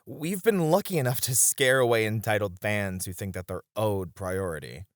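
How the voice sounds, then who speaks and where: Male actor as supportive lounge host, playful, reassuring, animated intonation, dry close sound, ASMR roleplay. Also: on the low side, normal speed, dry recording, natural voice. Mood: firm, caring.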